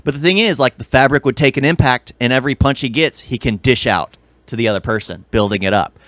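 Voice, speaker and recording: Male podcast co-host, average pitch, informal movie chat, acted-out reactions, dry recording. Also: fast talker, fairly bright tone, no echo. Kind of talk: casual movie discussion.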